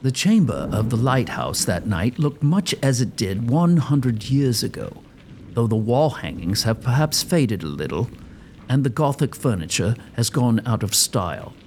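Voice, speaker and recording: A man's voice: medium pitch, sing-song, measured pace, a little mellow, a little hiss or hum. A man giving scripted narration for a gothic comedy audio drama.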